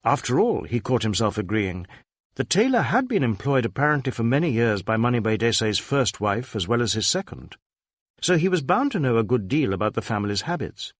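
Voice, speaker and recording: Expressive male storyteller, very dry sound. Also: mid-range voice, no echo. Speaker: audiobook narrator. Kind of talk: scripted narration of a detective novel.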